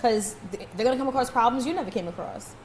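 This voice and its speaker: A blunt, opinionated woman co-hosts a casual podcast and teases her friends with a sharp tongue. Her voice sits a little high. She was recorded in a hair salon that gives her a roomy echo over a faint hum. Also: fast talker. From Guyana. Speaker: podcast co-host. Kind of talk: casual chat.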